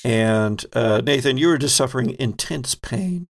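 A man acts as game master for a tabletop role-playing game. His delivery is slow, with a gravelly fry in the voice, and he builds suspense through deliberate pauses. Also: mid-range voice, lively intonation.

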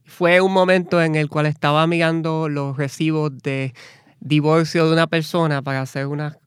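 Puerto rican guy on a podcast, smooth clear voice, dry close sound, easygoing. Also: a little high, normal speed, no room sound. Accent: Puerto Rican Spanish. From Puerto Rico. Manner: relaxed, conversational with fillers. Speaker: guest, lawyer and activist. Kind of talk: interview answers.

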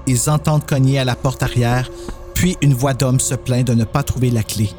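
A man's voice: mid-range voice, fairly even intonation, moderate pace, over music. A man narrating a dramatized horror story as host and storyteller.

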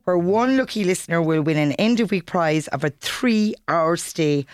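A woman playing a keen, unfazed Irish promotions staffer pitching ideas at a local radio station. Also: lively intonation, normal speed, clear, resonant tone, no room sound, studio quality, lightly acted. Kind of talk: radio play comedy acting.

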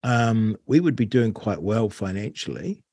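A man's voice: lowish voice, animated, unhurried, creaky, a little mellow, breathy edge, dry recording, studio quality. A New man hosting an interview, talking casually and wryly, stretching words for emphasis. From New Zealand. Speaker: host.

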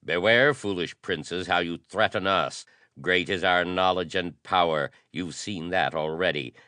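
Man voicing bold pulp-adventure aviator, deep forceful voice, firm and commanding, measured pace. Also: animated, no echo, clean studio sound, exaggerated voice. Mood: defiant, commanding. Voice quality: deep tones. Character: bold, authoritative male American aviator defying hostile princes.

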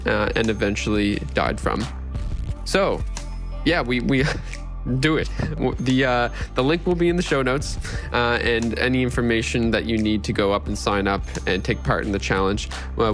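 A man hosting a podcast, giving a casual, somewhat halting introduction and announcement. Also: lowish voice. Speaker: podcast host.

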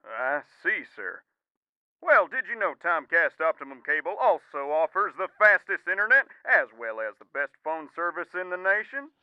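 An actor playing a polite, unflappable cable company manager who keeps upselling in a comedic audio drama. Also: male voice, on the high side, very animated, normal speed, smooth, dry recording, highs cut off. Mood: salesy politeness. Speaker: customer service manager.

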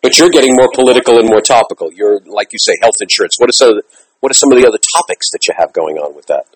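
Male host, low husky voice, dry close sound, talkative, stumbles into restarts. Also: very fast, no echo, rough recording. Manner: chatty, restarts phrases, enthusiastic. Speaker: interview host. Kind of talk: radio interview.

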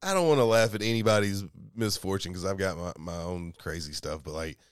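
A soft-spoken man co-hosting a casual podcast chat, rambling with lots of restarts and quick laughs. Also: low-pitched, smooth, no room sound, studio quality. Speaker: podcast co-host. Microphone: studio mic.

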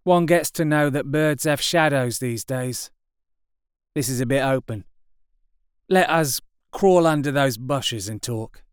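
A man voicing a hoarse, wary, filthy soldier who speaks urgently and guardedly. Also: mid-range voice, a little slow, no room sound, studio quality, voice pushed up, lightly acted.

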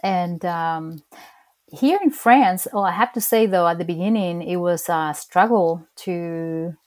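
A woman's voice: on the low side, measured pace. Chatty chilean woman, strong spanish accent, tells a story with little laughs and restarts. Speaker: interviewee. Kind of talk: casual conversation.

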